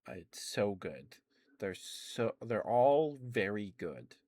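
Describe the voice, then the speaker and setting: A man streaming ASMR, chatting softly and rambling with frequent fillers and little laughs. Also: on the low side, big pitch swings, slow, dry recording, studio quality. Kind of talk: casual rambling chat.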